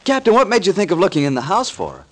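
An actor playing a curious, sympathetic onlooker in an old radio thriller. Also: male voice, high voice, huge pitch swings, racing. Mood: puzzled.

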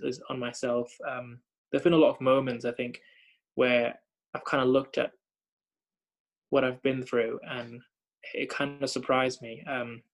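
Gravelly young man, reflective and halting. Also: mid-range voice, poor audio. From Thailand. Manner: thoughtful, many fillers and repeated starts. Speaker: hospital doctor. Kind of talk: reflective conversation.

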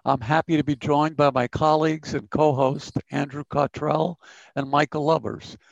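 A man's voice: on the high side, flat delivery, moderate pace, creaky, no echo, dull audio. A middle-aged man hosting a design podcast, friendly and loose, with lots of "uh" and restarts. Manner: hesitant, many fillers. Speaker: podcast host. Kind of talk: podcast interview.

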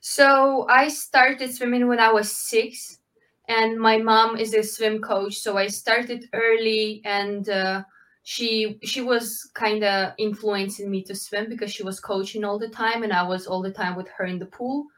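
A woman, answering interview questions in halting, full of fillers. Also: medium pitch, little pitch movement, fairly bright tone. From Ukraine. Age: 20s. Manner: halting, with frequent fillers and restarts, non-native phrasing. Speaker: guest, Olympic swimmer.

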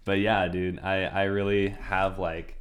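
A man's voice: low-pitched, flat delivery, a little slow. An American man in his twenties chatting casually as a podcast guest. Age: 20s. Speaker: podcast guest, university student. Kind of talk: casual chat.

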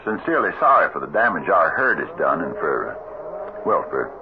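An actor playing a courteous, fair-minded cattle-drive boss with halting, measured speech in an old-time radio western. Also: male voice, a bass voice, big pitch swings, heavily acted. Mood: halting, sympathetic. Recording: muffled, noisy radio drama recording.

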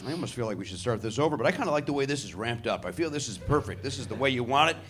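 Animated, wisecracking man on a stage. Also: mid-range voice, rapid-fire. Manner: riffing, sarcastic, profane asides. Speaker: comedy podcast host. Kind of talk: live stand-up banter with the audience. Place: theatre stage before a live audience.

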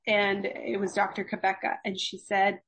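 A young woman talks through healthy aging in an unhurried, earnest way, sometimes acting out passing diet fads. Her voice carries a gravelly fry and a husky grain. She sounds like a faculty expert chatting on a podcast. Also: medium pitch, monotone, a bit bright. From Los Angeles, USA. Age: young adult. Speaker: gerontology faculty guest. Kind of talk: conversational interview.

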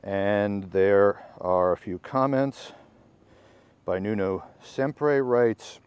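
Low-voiced man reading research aloud. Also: steady tone, drawn-out words, darkish tone, clean tone, dry recording, dull audio. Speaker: podcast reader and commentator. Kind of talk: reading a research article aloud with informal asides.

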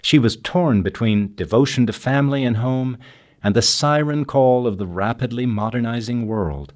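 A man hosting a podcast, reading scripted narration in a measured, formal way. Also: medium pitch, darkish tone. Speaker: podcast host and author.

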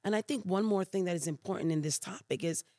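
A Puerto Rican-born woman pastor with a New York accent, telling stories in an animated, emphatic way in a podcast interview. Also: fairly low pitch, fast, no echo, clean studio sound. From Puerto Rico.

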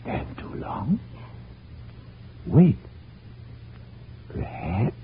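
An actor playing a driven, troubled middle-aged French scientist in a 1940s radio drama, halting and brooding. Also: male voice, lowish voice, wildly swooping pitch, heavily acted. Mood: pondering, excited. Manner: halting, brooding, theatrical delivery. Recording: muffled narrow-band old radio recording, hiss.

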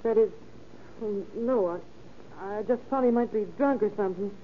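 A woman's voice: medium pitch, moderate pace, lightly acted. A woman playing a weary, defensive American housewife who answers in a hesitant, guarded way in an old-time radio drama. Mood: nervous, guarded. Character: wary, defensive, weary wife of a suspect. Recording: tinny, noisy old radio recording.

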